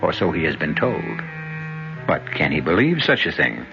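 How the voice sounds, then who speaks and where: A man narrating an old-time radio mystery as its host, wry and deliberate, posing questions like a storyteller. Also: rumbling low, very animated, creaky. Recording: muffled radio recording with music behind. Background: music.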